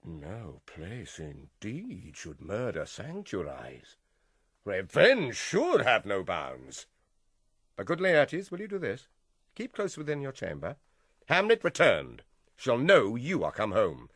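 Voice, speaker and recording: An actor playing a smooth, manipulative middle-aged king in a Shakespearean radio drama, with deliberate, theatrical delivery. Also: on the low side, huge pitch swings, fairly bright tone, no room sound, clean studio sound, heavily acted. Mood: conspiratorial.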